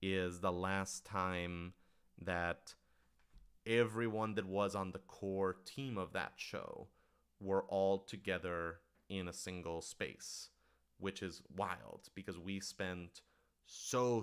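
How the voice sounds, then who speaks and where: A man with a lowish, clear and resonant voice hosts an informal livestream Q&A. He talks casually to his audience as a writer-director, rambling a little and working out his thoughts out loud. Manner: rambling, thinking aloud.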